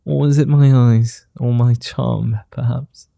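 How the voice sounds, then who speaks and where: A man playing a suave, flirty vampire in an ASMR roleplay, speaking softly in courtly, formal phrases with a gentle, teasing manner. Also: mid-range voice, fairly even intonation, dry recording, clean studio sound. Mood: smooth, seductive. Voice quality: soft, dark, breathy. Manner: courtly formal wording, unhurried pauses, teasing.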